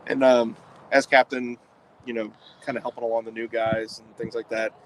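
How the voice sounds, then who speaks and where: A man's voice: medium pitch, little pitch movement, normal speed. A man working as a podcast interviewer, asking loose, rambling questions in a casual post-game style. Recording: clear outdoor background noise.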